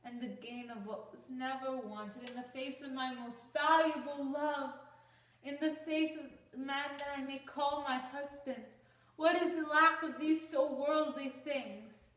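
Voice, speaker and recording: A woman's voice: high-pitched, fairly even intonation, a little slow, fairly bright tone. A woman playing a young romantic wife in an earnest, declamatory Shakespearean-style stage soliloquy. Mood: devoted, resolving. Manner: declamatory, earnest, archaic phrasing. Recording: roomy, distant microphone.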